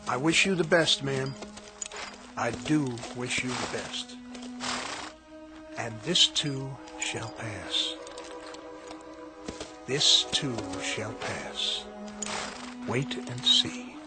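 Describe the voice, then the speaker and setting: A man playing a gentle, knowing Union officer, calm and measured in a radio drama. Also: mid-range voice. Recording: drama with faint music underneath.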